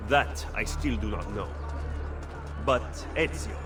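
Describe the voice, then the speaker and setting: A man voicing a shrewd, skeptical male strategist in a video game cutscene, measured and dry. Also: lowish voice, music in the background. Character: shrewd male political schemer, skeptical and cool.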